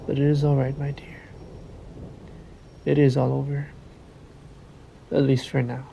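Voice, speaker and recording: Man acting as possessive male vampire, dark mellow murmur, narrow intonation, seductive, condescending, deliberate, whispery, ASMR roleplay. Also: completely flat delivery, a little hiss or hum. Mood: falsely soothing. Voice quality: low gravelly murmur, often sinking into whisper. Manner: very slow, deliberate, coaxing and commanding.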